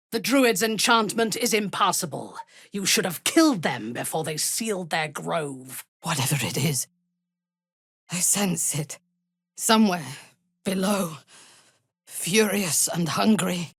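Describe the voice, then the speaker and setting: A woman playing an imperious, ruthless dark elf warrior in a fantasy game, haughty and menacing, with a husky, breathy voice and measured delivery. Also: deep voice, no room sound, studio quality, heavily acted. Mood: cold, assessing. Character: imperious, ruthless female drow warrior and cult commander. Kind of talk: dramatic fantasy game acting.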